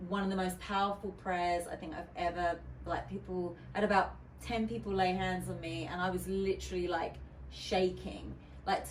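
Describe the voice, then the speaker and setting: Woman dj chatting on a podcast, candid, loads of "like", mic a bit far off, roomy. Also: lowish voice. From United Kingdom. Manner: candid, chatty, many fillers and restarts. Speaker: guest DJ. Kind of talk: casual podcast interview. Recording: roomy, distant mic with faint noise.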